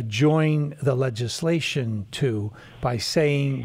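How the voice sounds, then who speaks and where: A man hosts a talk broadcast and earnestly pitches a community initiative to his guest. He speaks at a mid pitch and fills his speech with small pauses and fillers. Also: a little mellow. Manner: earnest, promotional, many fillers. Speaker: radio talk show host. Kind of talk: talk show hosting.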